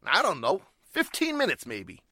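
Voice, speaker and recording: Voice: mid-range voice, wildly swooping pitch, normal speed, bright, forceful tone, dry recording, studio quality, exaggerated voice. An actor playing a shady, menacing crook with slangy, ungrammatical speech in a 1940s-style radio drama. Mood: evasive. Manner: slangy, ungrammatical tough talk.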